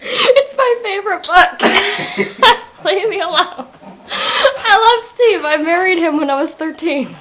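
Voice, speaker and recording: A woman with a high, animated voice and an unhurried pace, chatting casually. She sounds giggly and teasing, with faint noise behind her. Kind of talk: casual chat.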